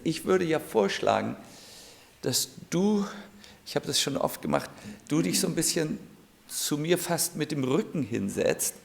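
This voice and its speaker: A man, a spiritual teacher, giving an informal, gently joking talk to a seated audience in a room. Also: on the high side, creaky. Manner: unhurried, gently joking, thinking aloud. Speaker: spiritual teacher leading an evening gathering. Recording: live audience heard around the speaker.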